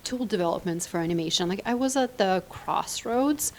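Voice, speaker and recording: A woman's voice: medium pitch, animated, normal speed, darkish tone. Reflective woman from Ukraine. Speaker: interview guest, animation filmmaker. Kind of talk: casual interview answers.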